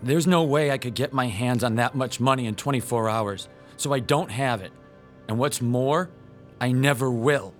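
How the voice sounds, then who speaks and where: A man's voice: mid-range voice, normal speed, soft background music, lightly acted. An actor playing a timid comic book shop owner in a radio drama, a nervous man with an earnest manner, trying to sound defiant. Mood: nervous defiance. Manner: earnest, hesitant.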